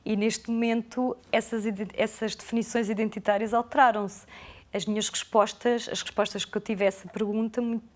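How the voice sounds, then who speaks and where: A woman's voice: on the high side, flat delivery, moderate pace. A woman researcher, answering interview questions in a thoughtful, hesitant way with many restarts.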